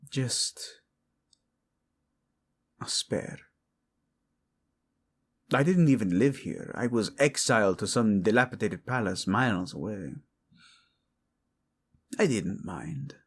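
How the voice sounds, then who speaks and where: A man's voice: on the low side, lively intonation, husky, heavily acted. A man playing a devoted, hot-tempered yet tender king, speaking intimately and teasingly in a romantic audio roleplay. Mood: wistful, confiding. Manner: soft, intimate, teasing, halting.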